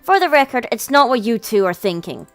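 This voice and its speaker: Woman doing a prim, high-voiced, teasing younger sister. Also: sing-song, a little fast, fairly bright tone, no echo, faint music behind. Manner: prim, sweetly scolding. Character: composed, teasing younger sister doting on her brother.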